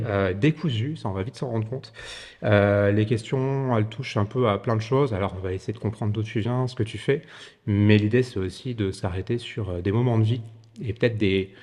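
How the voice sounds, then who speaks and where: A man's voice: lowish voice, a little mellow, long reverb tail. A man hosting a podcast interview, talking casually with lots of fillers. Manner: filler-heavy, informal. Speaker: podcast host.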